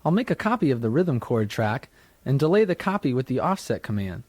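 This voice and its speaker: A man reading scripted instructional narration as a software tutor, in a measured, explanatory manner. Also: medium pitch, very animated, loud music behind the voice. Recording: dry studio narration from a 1990s tutorial cassette.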